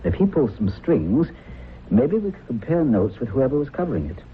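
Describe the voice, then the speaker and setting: An actor playing an easygoing, wry husband and investigator in a vintage radio drama, casual and expressive. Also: male voice, mid-range voice, normal speed, close to an everyday voice. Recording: tinny, noisy old radio recording.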